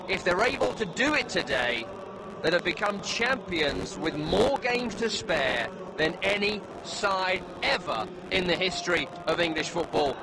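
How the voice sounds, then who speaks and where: A male sports radio presenter with a high voice. He hosts live football coverage, setting up the match for listeners. Loud stadium ambience and noise sit behind him throughout. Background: loud outdoor stadium ambience.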